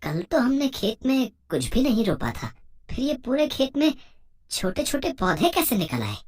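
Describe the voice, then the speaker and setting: A woman voicing a humble, puzzled village farmer and husband in a moral story, with a simple, expressive delivery. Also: high voice, moderate pace. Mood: puzzled, amazed. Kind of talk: moral story cartoon dialogue.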